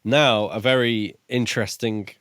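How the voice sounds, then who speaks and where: A man's voice: fairly low pitch, steady tone, a little slow, a bit bright, clean tone, no echo. Man chatting casually, close and dry. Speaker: podcast co-host reviewing books. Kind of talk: casual book discussion.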